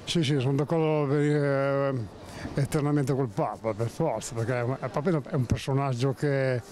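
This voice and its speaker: A man's voice: medium pitch, fairly even intonation, normal speed, a little mellow. A middle-aged man answers a street interview with firm conviction, breaking off and restarting his sentences. A faint background hum sits under his voice. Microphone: handheld mic held by interviewer.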